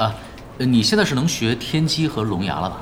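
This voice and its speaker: An actor playing a laid-back, self-assured expert gamer in an audio drama, casually explaining things. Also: male voice, medium pitch, very animated, noisy background, close to an everyday voice. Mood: musing. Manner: casual, unhurried, explains tactics matter-of-factly.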